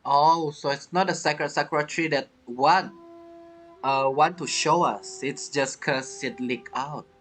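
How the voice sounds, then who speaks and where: A man streaming a game with casual commentary, thinking aloud in halting, non-native phrasing. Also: fairly high pitch, a bit bright, faint music behind. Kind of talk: casual let's play commentary.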